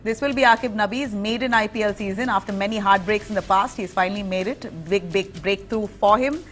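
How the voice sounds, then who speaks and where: Woman news anchor, Indian accent, mid-pitched voice, clear firm bulletin delivery, faint music behind. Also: fairly quick, a bit bright. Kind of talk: live news bulletin reading.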